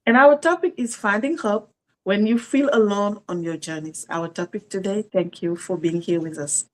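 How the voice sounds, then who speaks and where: Brisk woman from Senegal reading points. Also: mid-range voice, a little hiss or hum. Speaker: co-host. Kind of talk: reading the day's topic and points.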